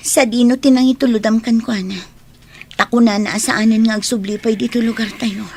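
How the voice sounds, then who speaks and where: A woman's voice: fairly high pitch, fairly even intonation, brisk, a little mellow, slight background noise, natural voice. An actress playing a concerned, probing woman friend in a radio drama, easily shocked, with a Filipino accent.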